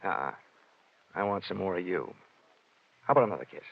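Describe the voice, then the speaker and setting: An American actor playing a wisecracking hard-boiled insurance investigator in 1940s radio detective style, with wry, clipped delivery. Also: male voice, deep voice, expressive, fairly quick, gravelly, exaggerated voice. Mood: flirtatious. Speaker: lead actor. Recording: tinny, noisy vintage radio recording.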